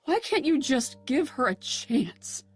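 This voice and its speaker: A middle-aged actress playing a soft-spoken, flustered mother worried for her daughter in an audio drama. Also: high voice, big pitch swings, takes it slowly, exaggerated voice. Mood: anxious.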